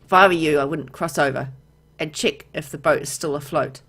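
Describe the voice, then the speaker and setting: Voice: mid-range voice, brisk, a bit bright. A video game player voicing a grumpy, reluctant boatman in a visual novel, curt and grudging. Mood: grudging, warning.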